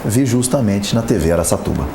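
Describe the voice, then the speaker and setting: A man hosting a casual business talk show, chatty and lively as he introduces the guest and plugs sponsors. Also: on the low side, quick pace. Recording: echoey studio with background noise.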